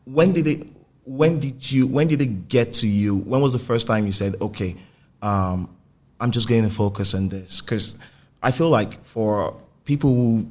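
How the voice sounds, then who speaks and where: A man's voice: medium pitch, a little slow, darkish tone. A man with a Nigerian accent conducting a conversational interview, putting and rephrasing probing questions. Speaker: interviewer, music journalist.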